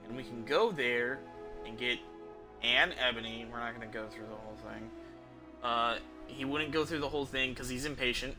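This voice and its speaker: A man's voice: mid-range voice, normal speed. A young adult man giving casual, rambling gameplay commentary as a streamer, indoors at home. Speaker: game streamer. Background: game music.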